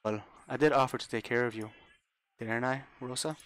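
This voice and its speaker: A man reading as a manipulative young man who speaks softly and coaxingly. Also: mid-range voice, fairly even intonation, moderate pace, close to an everyday voice.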